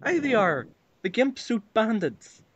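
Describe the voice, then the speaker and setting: A man's voice: high-pitched, big pitch swings, a little slow, noisy background. A man with a Northern Irish accent from Derry giving short, casual replies in a prank phone call. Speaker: prank caller. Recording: dull lo-fi phone call sound.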